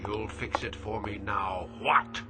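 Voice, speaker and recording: A man's voice: fairly low pitch, fairly even intonation, slow, over music. An actor playing a cruel, domineering old uncle in a radio drama, imperious and sneering as he delivers florid insults.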